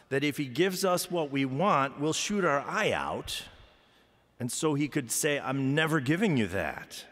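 Slow-paced preacher in a reverberant hall. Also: male voice, fairly high pitch. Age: middle-aged. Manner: measured, emphatic phrasing with deliberate pauses. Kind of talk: chapel sermon. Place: large chapel, indoors at a pulpit. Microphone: gooseneck lectern microphone. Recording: reverberant hall with long echo.

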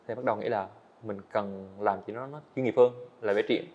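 Eighteen-year-old man, fast and earnest, muffled lo-fi podcast audio. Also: fairly low pitch, steady tone, a little mellow. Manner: earnest, candid, hesitant restarts. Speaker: guest animator. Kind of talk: podcast interview storytelling. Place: indoor studio set. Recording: dull lo-fi sound.

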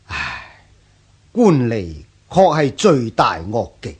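An actor playing a patient, principled prefect in a radio drama, measured and earnest, with a Hong Kong Cantonese accent. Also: male voice, mid-range voice, huge pitch swings, husky, heavily acted. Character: patient, principled male scholar-official, a prefect.

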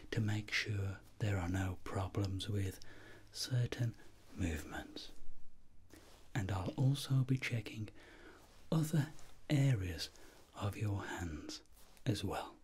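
A middle-aged man speaking in a breathy whisper, slow and reassuring, in an ASMR roleplay as a doctor examining a patient. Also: lowish voice. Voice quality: breathy whisper, soft-spoken. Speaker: ASMR performer acting as a doctor.

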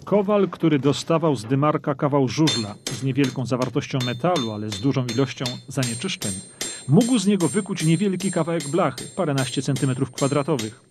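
A man's voice: mid-range voice, animated, brisk, long reverb tail, over music. A middle-aged man presenting a history documentary, explaining armour in an explanatory way in a castle armoury, on a lapel mic. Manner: explanatory, points at armour as he speaks. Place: dim brick castle armoury, indoors.

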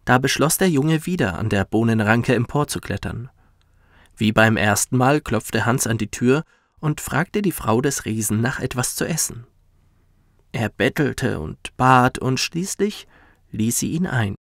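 Slow, expressive male bedtime storyteller. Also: medium pitch, no room sound, clean studio sound. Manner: gentle, expressive. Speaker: professional narrator. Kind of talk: scripted bedtime story narration for children.

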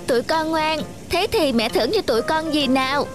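A performer voicing a sweet, earnest, excitable preschool boy with childlike eagerness in an animated children's tale. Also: high-pitched, normal speed, music in the background, lightly acted. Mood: eager.

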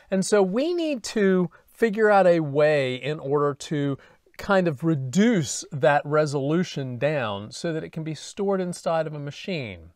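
A man's voice: on the high side, no room sound, studio quality. A man in his fifties lecturing in a conversational, step-by-step way, posing questions as he explains, on a lapel mic. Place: indoor studio with dark backdrop.

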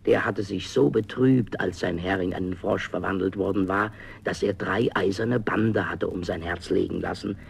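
A man's voice: deep voice, normal speed, creaky, few pauses, breathy edge. A man narrating a fairy tale in a dramatic, scripted storytelling style.

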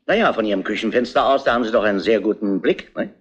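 Gruff chief inspector, lowish voice, animated intonation, brusque questioning, retro crime radio play. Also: male voice, very fast, muffled recording. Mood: probing. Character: gruff, grumbling male police inspector in charge. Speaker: radio drama actor.

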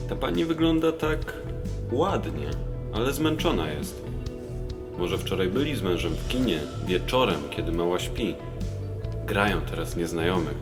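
A man acting a wistful, helpful young man who talks casually in a radio play. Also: low voice. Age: young adult. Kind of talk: radio drama monologue.

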